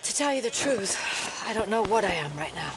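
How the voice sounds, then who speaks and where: A woman playing a skeptical, determined federal agent and doctor in an audio drama, speaking in a measured, questioning way. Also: mid-range voice, sing-song, background noise. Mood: composed, probing. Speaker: FBI special agent and doctor. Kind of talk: immersive audio drama acting.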